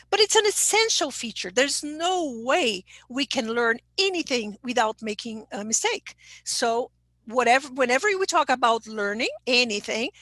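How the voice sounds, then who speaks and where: A woman's voice: fairly high pitch, fairly bright tone, no room sound. A woman giving a webinar lecture, explaining step by step and checking in with "okay?" and "right?". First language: Portuguese. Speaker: teacher trainer giving a webinar.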